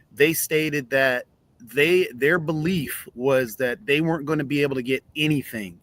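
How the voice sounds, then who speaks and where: A man's voice: medium pitch, fairly bright tone, no room sound. A man in a forum discussion, recounting what politicians said in a matter-of-fact way. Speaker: forum participant.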